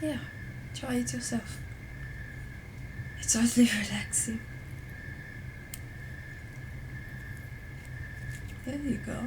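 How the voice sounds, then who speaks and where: A woman playing a playful, teasing, affectionate young French roommate in an intimate ASMR roleplay, speaking softly and without hurry. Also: fairly high pitch, background noise. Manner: soft, unhurried, breathy whispering, teasing.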